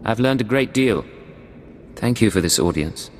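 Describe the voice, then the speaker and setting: Low-voiced, lively imperial agent. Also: male voice, normal speed, in a large hall, slight background noise. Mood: dry, skeptical. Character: cool, skeptical male imperial secret agent. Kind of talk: video game dialogue acting.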